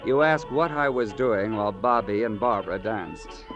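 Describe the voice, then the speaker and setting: A man's voice: on the low side, lively intonation, moderate pace, lightly acted. Man playing an affected, swooping, eccentric radio-drama fellow. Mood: catty, reminiscing. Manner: mannered, hesitant, teasing digressions. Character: affected, eccentric twin brother, coy and catty. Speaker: actor in a radio detective series. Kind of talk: old-time radio drama acting. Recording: muffled vintage radio recording, music behind.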